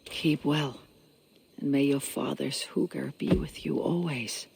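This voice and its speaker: An actor playing a steady, resolute male Viking clan leader, speaking in measured, solemn tones in a game cutscene. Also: a little high, creaky, a little hiss or hum. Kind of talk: video game cutscene acting.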